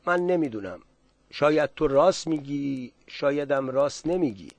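A man's voice: on the high side, expressive, darkish tone, dry recording. A man reading the lines of a cautious, courteous older village headman. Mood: wary.

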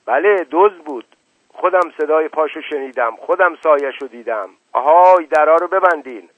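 A man voicing a quick-tempered, paranoid old man who shouts indignant orders. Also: on the high side, dry recording, pitched above the performer's own voice, lightly acted. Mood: furious. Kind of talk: audiobook character reading. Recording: tinny narrow-band, dry.

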